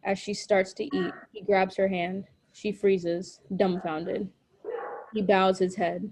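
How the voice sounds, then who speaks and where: Dark, mellow woman narrating a script. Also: mid-range voice, level tone, takes it slowly. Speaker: narrator of stage directions. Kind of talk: scripted narration of a drama table read.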